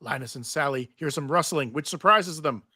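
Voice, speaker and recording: A man's voice: fairly high pitch, moderate pace, pushed and bright, no echo, clean studio sound. A man narrating stage directions at a live table read.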